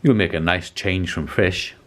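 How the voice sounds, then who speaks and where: A man voicing a grumpy, hungry troll for a children's storybook, with gruff, roaring delivery. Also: low voice, measured pace, a bit bright. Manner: roaring, bellowing challenges and gruff grumbles. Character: grumpy, hungry male troll lurking under a bridge.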